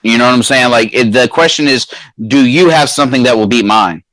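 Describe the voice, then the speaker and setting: A man's voice: mid-range voice, fairly quick, a bit bright, no room sound, rough recording. A man co-hosting a casual podcast, talking in slangy, stop-start bursts and repeating himself while he argues a point. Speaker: podcast co-host.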